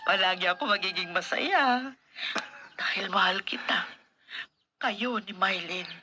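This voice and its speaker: A woman's voice: mid-range voice, a little slow, bright, forceful tone, slight background noise. An actress with a Filipino accent playing a remorseful, devoted wife and mother in a heartfelt, theatrical radio drama. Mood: tender, earnest.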